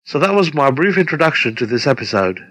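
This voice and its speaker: A middle-aged man reading a scripted introduction as a presenter, deliberate and formal. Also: a little high, very animated, a bit bright. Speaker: presenter introducing his own radio play. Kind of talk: scripted narration. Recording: long hall-like reverb.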